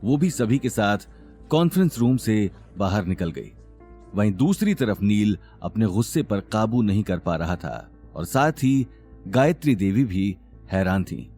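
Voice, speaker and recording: A man narrating a scripted audio drama in a dramatic, expressive storytelling manner. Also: lowish voice, a little slow, soft background music.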